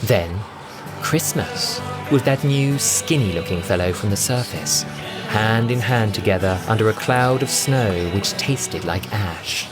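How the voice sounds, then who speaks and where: A man narrating a scripted science-fiction story with a theatrical, expressive delivery. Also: lowish voice, measured pace. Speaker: audiobook narrator and voice performer. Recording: loud music bed under the voice. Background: music.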